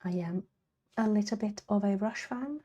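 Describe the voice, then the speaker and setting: A woman with an Italian accent, streaming as she draws, chatting quietly in murmured asides, her voice slightly croaky today. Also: medium pitch, normal speed, highs cut off. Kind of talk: casual live-stream chat while drawing.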